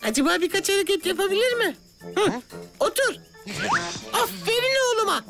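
A woman voicing an excitable, soft-hearted cartoon child character with a dramatic, exclamatory delivery. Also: fairly quick, gravelly, exaggerated voice. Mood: delighted. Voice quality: very high, childlike. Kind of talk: cartoon voice acting. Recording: loud music behind.

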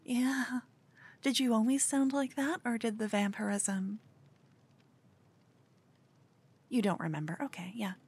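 A woman's voice: a little high, steady tone, normal speed, a little mellow. A woman voicing a cute, obsessed human fangirl in an ASMR roleplay, stammering, flustered and brazen, often slipping toward a whisper. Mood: smitten, breathy. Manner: stammering, breathy, dropping into whispers. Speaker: ASMR voice performer.

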